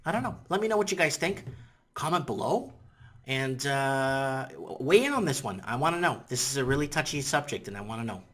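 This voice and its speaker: A man in his thirties whose first language is Portuguese rambles through an opinion vlog straight to his webcam. His voice is bright and forward, at a middling pitch. He talks in animated bursts, repeats words and keeps asking "you know what I'm saying?". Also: fairly quick. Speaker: vlogger. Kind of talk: casual opinion vlog to camera. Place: indoors in front of a green-screen city backdrop.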